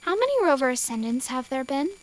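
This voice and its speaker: High-pitched, deferential female adviser played by a woman. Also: sing-song, quick pace. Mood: deferential, measured. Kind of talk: scripted audio drama.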